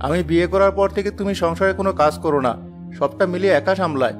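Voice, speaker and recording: A man voicing a plain-spoken family man in an animated moral story. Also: sits high, quick pace, soft background music, close to an everyday voice. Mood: reproachful.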